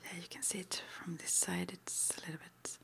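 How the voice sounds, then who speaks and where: A woman whispering breathily in an improvised ASMR roleplay, halting and gently reassuring as she plays a guide at a witch school. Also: lowish voice, fairly even intonation, gravelly.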